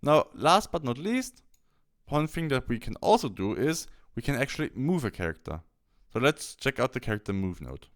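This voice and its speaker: A man presenting a software tutorial, explaining step by step in a halting instructional walkthrough. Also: medium pitch, sing-song. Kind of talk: instructional screen-capture walkthrough.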